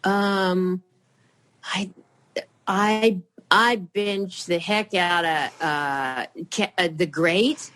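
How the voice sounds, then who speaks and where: An older woman chatting as a podcast guest, rambling and halting, with many fillers and restarts. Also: on the low side, a bit bright. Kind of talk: casual chat.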